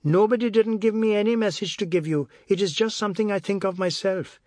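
A man voicing a sharp-tongued, scolding wife with a Caribbean accent in a narrated story. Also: a little high, very animated, a little fast, breathy edge, dry recording, studio quality. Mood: chiding. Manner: chiding, blunt.

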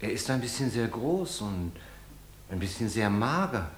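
A man's voice: fairly low pitch, sing-song, unhurried. A man acting a naive, deferential, hesitant household servant in a German radio play, heard from a distance. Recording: echoey, distant, faint noise.

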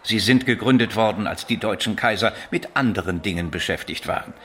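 An actor playing a shrewd, learned older bishop in a radio drama, speaking in a measured, didactic way. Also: male voice, on the low side, a bit bright, a little hiss or hum, close to an everyday voice. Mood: explaining.

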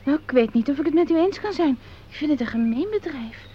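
An actress playing a prim, soft-hearted, easily shocked lady in a radio play, on a muffled recording with faint noise. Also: high voice, expressive, quick pace. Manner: coy, exclaiming. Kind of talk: Dutch radio play acting.

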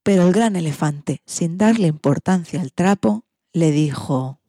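A woman storyteller of narrates for children. Her voice is husky and dark, fairly low, recorded close and dry. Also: lively intonation, measured pace, no echo. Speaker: storyteller and presenter.

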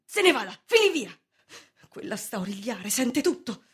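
Brusque, changeable young lodger. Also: female voice, fairly high pitch, big pitch swings, normal speed, slightly rough, exaggerated voice. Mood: alarmed. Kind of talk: theatrical radio drama acting.

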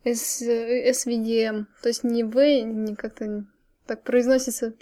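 A woman's voice: on the high side, flat delivery, measured pace, dark tone. A woman chatting casually as a podcast panelist, informal and questioning. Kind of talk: casual chat.

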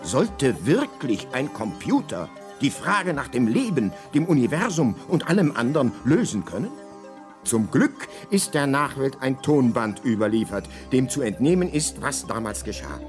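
Radio play casting: man for knowing guidebook narrator, dry asides, deliberate pauses, lively melody, music underneath. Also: medium pitch, lightly acted. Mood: wry, storytelling. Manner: wry, deadpan asides with deliberate hesitations. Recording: music behind the voice.

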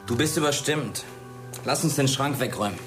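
A man's voice: mid-range voice, fairly quick, close to an everyday voice. A young man playing a cocky, needling teenage schoolboy in a naturalistic radio drama, talking in a taunting, colloquial way. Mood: mocking, insistent. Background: music.

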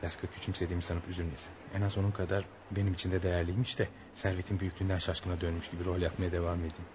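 An actor playing a polite, curious American traveller in a radio play, theatrical and musing, with amused asides to himself. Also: male voice, low voice, flat delivery, very fast, noisy background, natural voice.

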